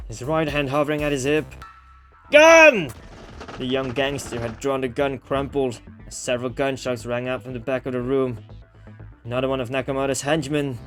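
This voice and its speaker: A man reading a game story aloud and commenting on it casually, with informal slang. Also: mid-range voice. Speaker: gaming host reading a visual novel aloud. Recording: music behind the voice. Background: game music with guitar.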